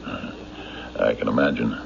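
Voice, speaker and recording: A man playing a stubborn, principled small-town sheriff in a radio drama, speaking in a terse, halting, dogged way. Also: very deep voice, gravelly. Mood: frustrated, terse. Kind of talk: old-time radio drama acting. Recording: muffled radio broadcast with faint noise.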